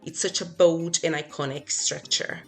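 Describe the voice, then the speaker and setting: A woman's voice: low voice, steady tone, normal speed, vocal fry, soft background music. A woman from Brazil, a native Portuguese speaker, reading her part as a guest in a scripted podcast conversation.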